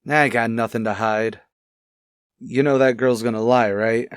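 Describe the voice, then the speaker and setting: A man's voice: mid-range voice, fairly even intonation, unhurried, smooth, no room sound, studio quality, natural voice. A man playing a cocky, defensive salesman under arrest in a radio crime drama, wisecracking and sneering. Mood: defiant. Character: cocky, defensive thirty-one-year-old clothing salesman under arrest. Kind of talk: old-time radio crime drama acting.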